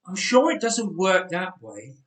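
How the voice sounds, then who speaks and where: A man's voice: high-pitched, sing-song, measured pace, voice pushed up. Man playing a huffy, scolding aunt. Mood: prim. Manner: huffing, scolding, prim. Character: stern huffy middle-aged aunt. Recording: roomy church echo.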